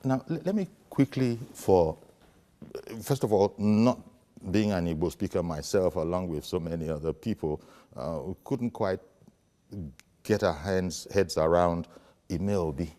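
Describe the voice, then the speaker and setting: A man's voice: low voice, unhurried, warm tone, long reverb tail. A man hosting a morning news show, asking probing, polished questions in a studio. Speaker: TV news host.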